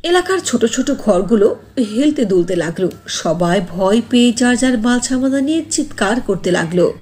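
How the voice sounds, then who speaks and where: A woman narrating a scripted cartoon fairy tale in an animated, expressive way. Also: on the high side, normal speed, a little hiss or hum.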